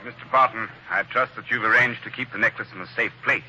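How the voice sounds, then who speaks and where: A man playing a polite, measured businessman collecting payment in a 1940s radio drama. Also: mid-range voice, fairly even intonation, sharp tone, faint music behind, rough recording.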